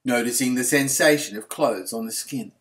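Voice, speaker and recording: A man guiding a relaxation meditation as a yoga nidra instructor, speaking in a calm, soothing, unhurried manner with gentle phrasing. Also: medium pitch.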